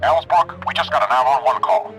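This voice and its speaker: A man's voice: medium pitch, lively intonation, a little fast, over music, natural voice, low-quality recording. A man playing an earnest police sergeant in a radio drama, businesslike and urgent.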